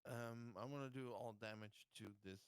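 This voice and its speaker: A man's voice: medium pitch, fairly even intonation, moderate pace, clear, resonant tone, no room sound. A man talking casually as a player in a tabletop roleplaying game.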